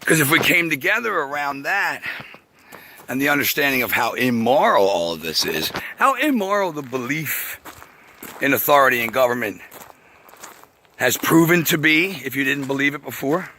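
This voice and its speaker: A man with a raspy voice talks casually to camera outdoors, rambling, swearing, and laughing often as he goes. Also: mid-range voice, big pitch swings, normal speed, bright, forceful tone, slight background noise. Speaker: vlogger. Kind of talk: casual outdoor video monologue. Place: outdoors by a path.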